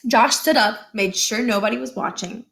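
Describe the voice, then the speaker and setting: A woman's voice: fairly high pitch, normal speed, creaky, a bit bright, poor audio. Woman reading a children's novel aloud. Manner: acts out the dialogue of the characters. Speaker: reader of a children's book. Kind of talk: read-aloud storytelling with brief explanatory asides.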